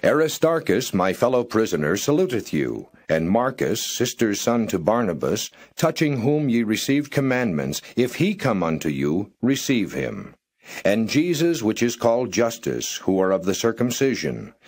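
A man reading Bible verses aloud in a slow, measured way, pausing between phrases. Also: on the low side, sing-song, darkish tone. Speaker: scripture reader.

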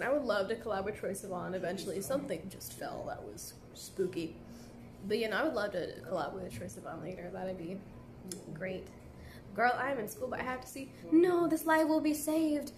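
Young woman, slightly high voice, laid-back chatty live stream, phone at arm's length, faint café hum. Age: young adult. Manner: chatty, laid-back, heavy use of "like" fillers. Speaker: live streamer answering viewer comments. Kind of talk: casual live stream chat. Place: indoor café at night.

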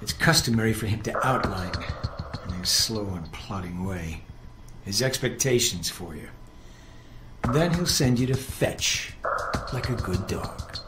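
An actor playing a cold, condescending older intelligence handler in a video game, speaking in clipped, precise, superior phrases. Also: male voice, on the low side, expressive, measured pace. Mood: dry, condescending. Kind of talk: video game dialogue acting. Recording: roomy echo with music underneath.

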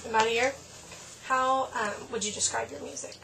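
A woman's voice: a little high, fairly even intonation, normal speed, sharp tone, a little hiss or hum, rough recording. A woman in her twenties interviewing a band, asking questions with a light, giggly manner. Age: 20s.

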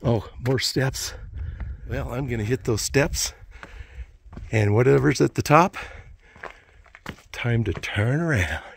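A man in his sixties, out of breath and husky, chatting to himself in folksy exclamations as he vlogs outdoors on a phone. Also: medium pitch, lively intonation, takes it slowly, long pauses, a little hiss or hum. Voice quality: husky, gravelly. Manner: folksy, exclaiming, talking to himself in short bursts. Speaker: travel vlogger. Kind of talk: casual hiking vlog narration. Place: outdoors on rocky trail. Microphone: handheld phone at arm's length.

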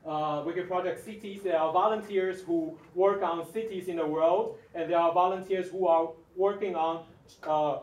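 A man with a Mandarin accent, a native Mandarin speaker, presenting a live demonstration to an audience in a hesitant, halting way. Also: sits high, fairly even intonation. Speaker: workshop presenter. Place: large conference room, distant camera without microphone. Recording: echoey room, far from the microphone.

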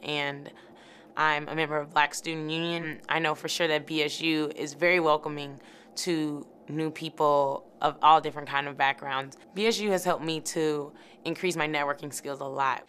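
A woman's voice: low-pitched, a bit bright, slight background noise. A young woman, a college student athlete, giving an interview testimonial. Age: young adult.

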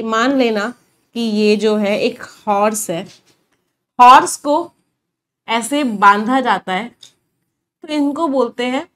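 Young woman teacher, slightly high voice, asks herself questions then answers, studio lesson on a lapel mic. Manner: explains in mixed Hindi and English, asking and answering her own questions. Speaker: vocabulary teacher. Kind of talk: online exam-prep lesson. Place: studio, standing before a large screen.

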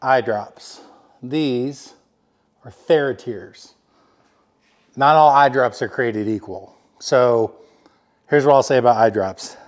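A man's voice: mid-range voice, takes it slowly, pauses often. Easygoing guy in his 40s rambling through his gear list, lots of ums, indoor workshop. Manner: laid-back, chatty, lots of fillers. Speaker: vlog host. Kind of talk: casual product rundown. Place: home workshop office, indoors. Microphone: fixed camera, standing at desk.

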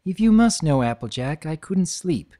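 A man's voice: on the high side, sing-song, normal speed, warm tone, pitched above the performer's own voice. A man voicing a nervous, bookish young pony mare who talks hesitantly. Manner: hesitant, fretful.

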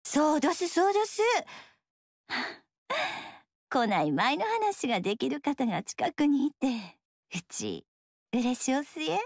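Slow teasing kyoto dancer girl, high lilting voice, dry studio sound. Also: sing-song, gravelly, no room sound, exaggerated voice. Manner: slow, teasing, soft Kyoto-style speech. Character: playful young woman dancer, teasing. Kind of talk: game dialogue.

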